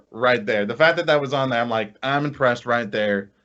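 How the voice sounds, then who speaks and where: A man's voice: mid-range voice, moderate pace, muffled recording. A man co-hosting a podcast in casual, enthusiastic chat, stammering and repeating words as he goes. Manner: excitable, stammering with frequent repeats. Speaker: podcast co-host.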